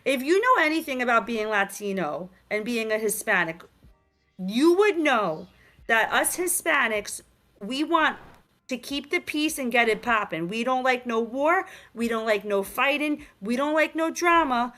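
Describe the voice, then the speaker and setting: Bright, energetic New York woman. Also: on the high side, measured pace. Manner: energetic, streetwise, many fillers like "like" and "you know". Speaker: guest, game creator. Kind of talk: casual interview chat. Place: virtual talk show, remote.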